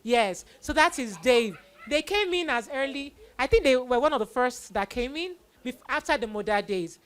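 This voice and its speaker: A woman's voice: sits high, lively intonation, normal speed. A woman with a Nigerian accent hosting a live children's event, telling stories in an exclamatory way with acted-out quotes. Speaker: children's Bible story host.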